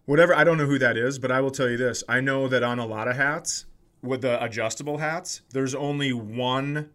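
A man's voice: mid-range voice, a little fast, fairly bright tone. A middle-aged man chatting casually as a comedy podcast host, telling self-deprecating stories and acting out the exchanges he quotes.

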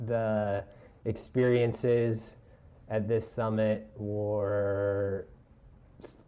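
Calm, mellow-voiced young man. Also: lowish voice, extremely slow, clean tone. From Colorado, US. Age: 20s. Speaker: podcast guest. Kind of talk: casual podcast chat.

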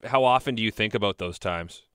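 A man interviewing a guest, asking calm questions with brief acknowledgments. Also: lowish voice, brisk, clean tone, no echo, studio quality.

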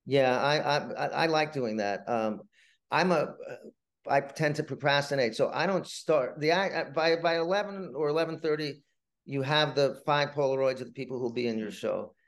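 A man talking casually as an interview guest, telling anecdotes about his writing work in a halting way with stammered restarts. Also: on the high side. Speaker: guest, playwright and TV writer.

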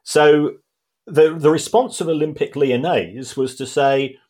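A man co-hosting a casual football podcast, speaking in halting phrases full of restarts, with measured indignation. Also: a little high, darkish tone. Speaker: podcast co-host.

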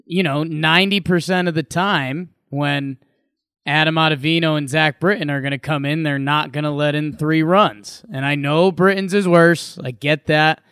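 A man's voice: a little high, steady tone, moderate pace, fairly bright tone, clean tone, dry recording, clean studio sound. An American man from Connecticut chatting casually and easily about sports as a podcast co-host. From Connecticut, United States.

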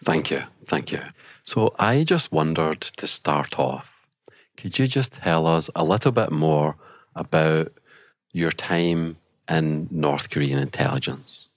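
Very deep-voiced male podcast host. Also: slightly rough, no echo, studio quality. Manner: measured, polite questioning. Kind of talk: interview questions.

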